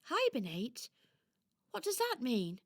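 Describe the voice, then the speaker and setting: A woman as an eager, high-voiced little toy doll girl. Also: huge pitch swings, takes it slowly, heavily acted. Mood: curious. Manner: earnest, exclaiming, hesitant. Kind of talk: children's radio play acting.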